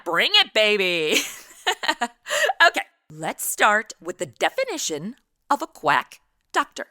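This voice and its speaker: Animated, bright-voiced woman hosting a podcast. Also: mid-range voice, takes it slowly, no room sound, studio quality. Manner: breezy, slangy, animated. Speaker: podcast host. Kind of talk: casual interview chat.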